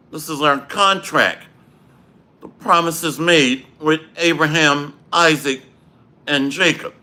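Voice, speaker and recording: A man leads a livestreamed Bible lesson in a slow, deliberate cadence. His throat is dry and he clears it often. His voice stays bright and forceful. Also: a little high. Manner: slow, measured preaching with Hebrew phrases. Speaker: Bible teacher. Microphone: livestream microphone.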